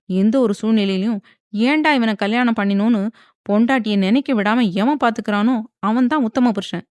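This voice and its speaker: A woman voicing a fiery, defiant young wife who talks in a sharp, scolding way. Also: fairly high pitch, no echo, clean studio sound, pitched above the performer's own voice, lightly acted.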